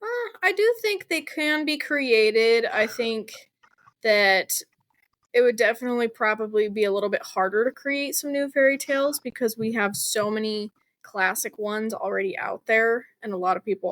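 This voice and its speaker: A woman's voice: on the high side, moderate pace, a bit bright, no room sound. A woman speaking as a guest author in a casual interview, chatty and informal, with plenty of "like" and "you know".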